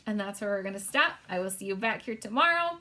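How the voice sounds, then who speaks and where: A woman's voice: medium pitch, expressive, fast talker, pushed and bright. Woman reading a children's story aloud. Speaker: story reader. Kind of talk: expressive children's read-aloud narration.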